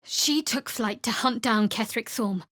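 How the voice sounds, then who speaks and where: A woman voicing a guarded, dry-witted young female cleric in a fantasy video game, measured and wry. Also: fairly high pitch, a bit bright, no room sound, natural voice. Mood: guarded, solemn.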